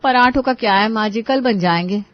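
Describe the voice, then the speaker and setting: A woman's voice: on the high side, fairly quick, dry recording, natural voice. Woman voicing a scheming bossy housewife, muffled sound. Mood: sugary, feigned concern. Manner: scolding, sarcastic. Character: scheming, bossy elder daughter-in-law, sharp-tongued wife. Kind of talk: Hindi family cartoon voice acting.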